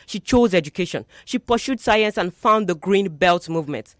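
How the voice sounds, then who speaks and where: A young student debater with a Nigerian accent delivers a rehearsed, declamatory speech in deliberate, rhetorical phrases. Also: mid-range voice, no room sound, clean studio sound.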